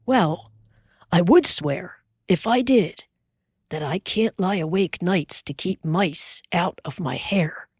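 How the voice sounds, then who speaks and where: A woman reading aloud who voices a steady, teasing pioneer father in a low, plain, earnest way. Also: slow, slightly rough, no echo, studio quality, heavily acted.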